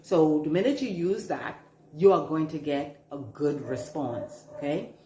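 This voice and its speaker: A woman's voice: sits low, unhurried, warm tone, reverberant, slight background noise. A middle-aged woman from the Bahamas with a Bahamian accent, a business consultant giving a chatty talk to camera and repeating words for emphasis. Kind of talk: casual instructional talk to camera.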